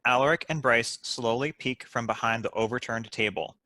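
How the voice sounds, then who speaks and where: A man's voice: medium pitch, normal speed, pushed and bright, no room sound. A man reading stage directions aloud as scripted narration for a comedy script.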